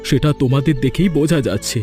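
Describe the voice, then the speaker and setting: A humble village brother from a cartoon story, acted by a man. His slightly high, mellow voice is earnest and protective, with faint music behind. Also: quick pace, close to an everyday voice. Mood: earnest, entrusting. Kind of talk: animated cartoon story dialogue.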